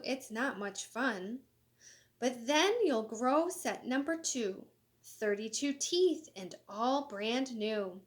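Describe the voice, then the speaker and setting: A woman voicing a cheerful, motherly hen who explains in reassuring rhyme, as in a children's storybook. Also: fairly high pitch.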